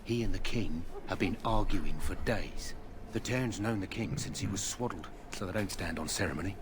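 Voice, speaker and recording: A man's voice: low-pitched, normal speed, noisy background, natural voice. A man voicing a loyal, guarded soldier on watch in a fantasy game, speaking in firm, opinionated statements. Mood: confiding. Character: loyal male camp guard, admiring and guarded. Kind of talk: scripted fantasy game dialogue.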